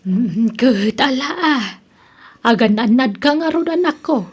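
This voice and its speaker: An actress playing a homely, concerned, questioning woman in an Ilocano radio drama, with an Ilocano accent and a breathy, husky voice. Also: fairly high pitch, lively intonation, a little slow. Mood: worried, caring.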